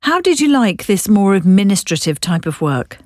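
Actress as polite brisk woman interviewer, lively pitch, dry close mic. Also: no echo, lightly acted. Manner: brisk, polite, probing questions. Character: businesslike woman interviewer, patient. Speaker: job interviewer. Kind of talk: scripted listening dialogue.

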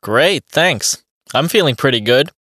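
A man's voice: medium pitch, expressive, unhurried, sharp tone, no room sound, clean studio sound. A man playing a friendly young beatboxer, answering interview questions in a plain, evenly paced way for a textbook dialogue. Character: friendly young male beatboxer on a radio show.

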